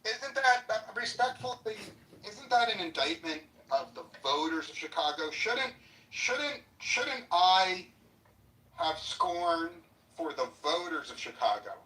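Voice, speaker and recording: A man with a higher, bright, forceful voice asks polite, carefully hedged questions. He often repeats his words before he gets to the point. He sounds far from the mic, in an echoey space. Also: lively intonation, slow pace. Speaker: interviewer. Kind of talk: conversational interview questions.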